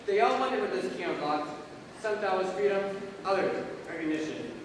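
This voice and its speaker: A man's voice: high-pitched, animated, brisk, distant mic. A man playing a solemn, mysterious narrator in a school stage play, in a noisy echoey hall. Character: solemn, mysterious narrator who waits and listens. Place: school stage, recorded from the audience.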